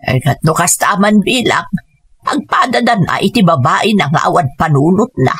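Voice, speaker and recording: A weary abandoned mother from a radio drama, speaking steadily and earnestly.in a low, husky tone, recorded dry. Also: expressive, normal speed, dry recording. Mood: worried.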